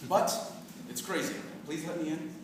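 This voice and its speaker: A man's voice: a little high, fairly even intonation, normal speed, close to an everyday voice. An actor, a man, playing a smarmy, wheedling, faintly menacing ex-boyfriend in a staged play reading. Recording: echoey hall from far off, noisy.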